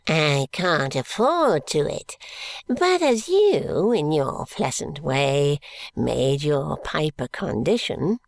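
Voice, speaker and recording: A woman voicing a feeble, spiteful old miser in an audiobook, shrill and wheezing. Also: sing-song, slow pace, no echo, heavily acted. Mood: wheedling. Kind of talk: audiobook reading.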